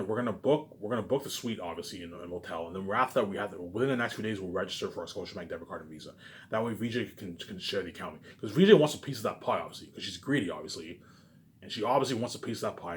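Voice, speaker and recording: A man's voice: fairly low pitch, darkish tone. A man delivering a rambling, casual monologue, repeating himself emphatically, swearing and often tacking on questions for agreement. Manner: repetitive, emphatic, swearing, frequent tag questions.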